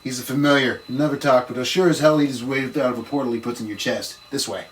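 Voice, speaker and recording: A man's voice: medium pitch, fairly even intonation, fast, lightly acted. Man reading as brusque occult investigator, distant mic. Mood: brisk, knowing. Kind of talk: amateur script table read. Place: room, read aloud at a table. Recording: distant room mic, faint noise.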